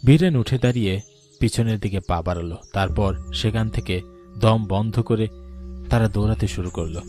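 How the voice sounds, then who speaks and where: Casting: male narrator for cartoon horror tales, low register, dramatic and suspenseful, music clearly present. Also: moderate pace, mellow. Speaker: story narrator.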